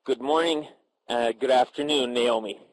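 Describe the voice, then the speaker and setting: Halting man moderating a panel. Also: mid-range voice, slight background noise, low-quality recording. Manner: halting, many filler sounds and restarts. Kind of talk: moderating a professional panel discussion.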